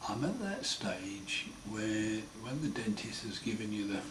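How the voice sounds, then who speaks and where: Guy with a croaky sore throat, slow wry video diary, sounds far off in an echoey room. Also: on the low side, big pitch swings. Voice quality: hoarse, partly breathy. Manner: slow, wry, plain-spoken, often pausing. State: croaky after throat surgery. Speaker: patient sharing his recovery. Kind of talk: casual home video diary. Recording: roomy echo, far mic, noisy.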